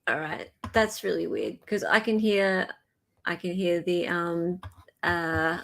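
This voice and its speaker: A woman's voice: on the low side, takes it slowly, creaky, a bit bright. An Australian woman hosting a casual live stream, chatting in an informal, scattered way.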